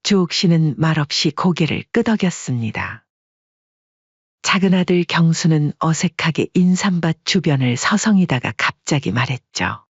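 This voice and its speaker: A narrator reading a family drama in calm, measured scripted narration. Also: medium pitch, breathy edge, no echo, muffled recording.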